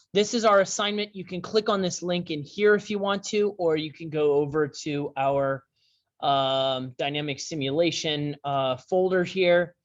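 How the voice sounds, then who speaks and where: A man teaching a class over a screen share, talking in a halting way with many fillers and restarts. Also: a little high. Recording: dull lo-fi sound.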